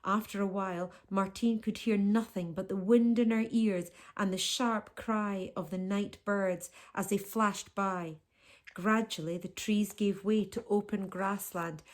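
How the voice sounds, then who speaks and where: A woman reads a children's novel aloud in the manner of a teacher. Her storytelling is expressive, and her voice sits at an ordinary middle pitch for a woman. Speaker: teacher reading aloud to pupils.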